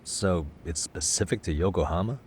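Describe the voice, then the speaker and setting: An actor voicing a calm, good-natured male private detective in a video game, relaxed and inquisitive. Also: low-pitched, normal speed, a little hiss or hum, natural voice. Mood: calm, curious. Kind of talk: video game voice acting, conversational. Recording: background music under the dialogue.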